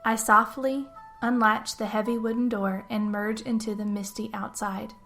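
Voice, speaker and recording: A woman with a fairly high voice reads a scripted tale as its storyteller. She narrates in the first person in a dramatic storytelling style. Music plays faintly behind her. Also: level tone, normal speed, a bit bright. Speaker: podcast host and storyteller.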